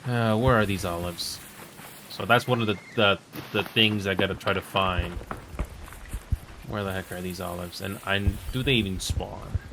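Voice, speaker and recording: A man's voice: deep voice, fairly even intonation, moderate pace, creaky, pushed and bright. A man born in Finland whose first language is Finnish, chatting casually on a live stream as he plays. He rambles, repeats himself and mutters at the game. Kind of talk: casual live-stream chat while gaming. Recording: noisy background.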